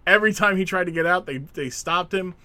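A man co-hosting a casual podcast chat, animated, acting out quotes and laughing often. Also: high voice, fairly quick, no room sound. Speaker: podcast co-host.

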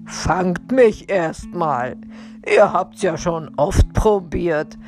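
Casting: woman as male storybook robber, gruff and unhurried, coolly taunting his victims, music underneath. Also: lowish voice, expressive, darkish tone, full character voice. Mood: taunting, unruffled. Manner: taunting, commanding, drawn-out delivery. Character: gruff, brazen, unruffled male forest robber. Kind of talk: children's audiobook character reading.

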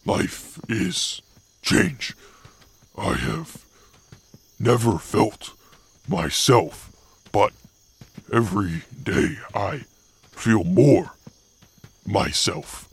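Here is a gentle, lumbering monster for a radio drama. The voice is heavily rasping and husky, and it crawls along in halting fragments, each short phrase set apart. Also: male voice, on the low side, very animated, heavily acted. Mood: earnest, halting. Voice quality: gravelly, husky. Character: halting, gentle male monster, Frankenstein's creation learning to speak.

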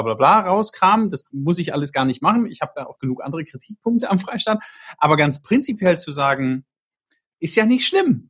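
A man's voice: fairly high pitch, a bit bright, husky. A man hosting a podcast discussion, thinking aloud with frequent restarts and tag questions. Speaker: podcast host.

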